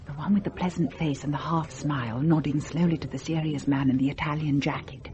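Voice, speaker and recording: A woman's voice: low voice, fairly even intonation, fairly quick, a little mellow, natural voice. An actress playing a brittle, sardonic middle-aged society wife and mother in a radio drama, arch and drawling.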